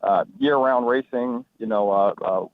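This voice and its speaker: A man answering as a radio interview guest, conversational and halting with repeated words. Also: mid-range voice, no echo. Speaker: racetrack authority executive, interview guest. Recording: thin, phone-like radio line.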